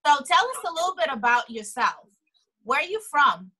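A woman hosts a video call interview with a chatty, enthusiastic manner. Her voice is high and bright as she asks questions over the webcam. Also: very animated, moderate pace. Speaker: host. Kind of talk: casual interview. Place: indoor home room.

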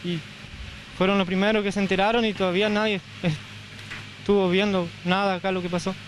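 A young man with a notably high voice talks haltingly into a handheld reporter mic, stopping and repeating words. He is outdoors, and clear background noise sits under his voice in the field recording. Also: little pitch movement, rough recording. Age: young adult. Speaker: homeowner interviewed after fire. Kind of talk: on-the-spot news interview. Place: outdoors at a burned house site.

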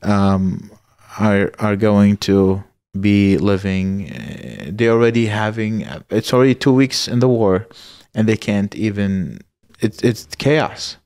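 A man from Iraq, likely in his thirties, speaks earnestly and haltingly, often pausing and restarting. His voice sits on the low side. The recording is dry and close, from a boom-arm mic in a small studio room. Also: a little mellow, no echo. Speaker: guest. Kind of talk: personal story in a podcast interview.

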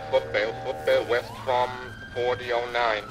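Man calling police radio reports over loud sirens. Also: mid-range voice, level tone, a little slow. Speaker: player role-playing a police officer. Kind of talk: police radio dispatch calls in game role-play. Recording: loud sirens and background noise.

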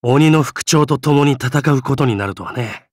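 A man plays a gentle, easygoing adventurer for a game. His mid-pitched voice is polite and breezy, recorded close and dry. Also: moderate pace, no echo, natural voice. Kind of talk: game character voice lines.

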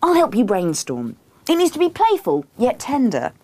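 A woman's voice: fairly high pitch, huge pitch swings, normal speed, dark tone, no room sound, pitched above the performer's own voice, full character voice. A woman voicing a needy, insistent wife, pleading and indignant, in a comic book reading.

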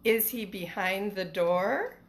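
A woman's voice: medium pitch, slow pace. A middle-aged woman, a teacher chatting casually and reading a picture book to children from home. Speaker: elementary school teacher reading storytime. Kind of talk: casual livestream chat and picture-book read-aloud for children. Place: small living room at home, indoors. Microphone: phone at arm's length. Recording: some background noise, home phone recording.

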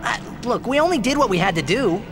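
A brash young video game hero. He sounds youthful and casual, quick to protest, with a lively, swinging delivery. Music plays behind him. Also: very high-pitched. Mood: nagging, casual. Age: young adult. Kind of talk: video game cutscene acting.

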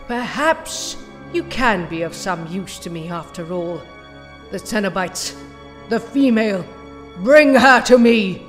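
A woman's voice: medium pitch, animated, slow. A woman playing a haughty, short-tempered villain scientist in an audio drama, theatrical and scornful. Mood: cold, scheming. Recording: long hall reverb, music underneath.

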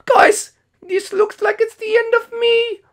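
A woman's voice: extremely high pitch, little pitch movement, slow, full character voice. A woman voicing a theatrical, tyrannical evil queen who gloats, in cartoon villain style. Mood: defiant.

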